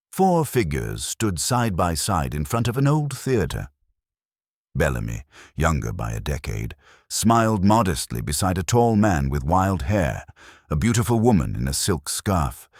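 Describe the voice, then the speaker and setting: A man narrating a scripted detective mystery in a measured, dramatic storytelling manner. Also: low-pitched, big pitch swings, dry recording, studio quality.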